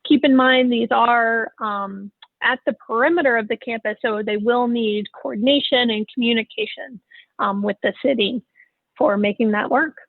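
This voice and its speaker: A woman's voice: a little high, normal speed, dry recording. A woman presenting plans at an online public meeting, over thin phone-like call audio. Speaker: facilities planning presenter.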